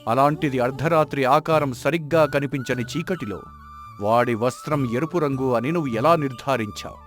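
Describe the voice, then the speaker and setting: A man playing a wise blind king in a folk tale, questioning deliberately with pointed sarcasm. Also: medium pitch, soft background music, natural voice. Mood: sarcastic, accusing. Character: wise blind king, shrewd and righteous father. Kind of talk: dramatised Telugu folk tale acting.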